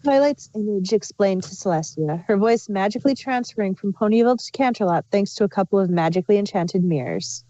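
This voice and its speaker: A woman's voice: mid-range voice, a little fast, few pauses, dark tone, no echo, lo-fi sound. A woman reading scripted fan fiction aloud as the narrator.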